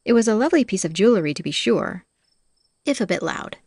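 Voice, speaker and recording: A woman's voice: mid-range voice, sing-song, a little fast, gravelly, a little mellow, no room sound, clean studio sound. A woman reading scripted fantasy audiobook narration as the storyteller.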